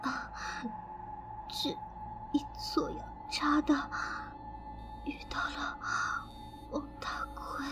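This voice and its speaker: Weak injured young woman, a woman acting her, high whisper, halting, slow, audio drama. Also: huge pitch swings, music in the background, full character voice. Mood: weak, pleading. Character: wounded young woman on the run.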